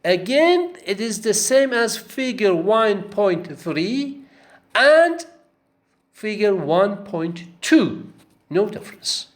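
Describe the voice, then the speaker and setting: A man's voice: high-pitched, big pitch swings, slow pace. A male lecturer taking students through a course text, repeating key phrases and checking in with "okay?". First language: not Arabic. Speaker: linguistics lecturer.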